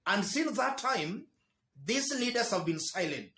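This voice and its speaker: Kenyan male commentator, high animated voice, emphatic news delivery in an echoey room. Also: a little slow. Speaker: political commentary host.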